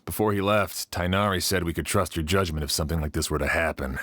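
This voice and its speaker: A deep-voiced earnest officer. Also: male voice, fast talker, a bit bright. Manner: earnest and polite. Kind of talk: video game voice acting.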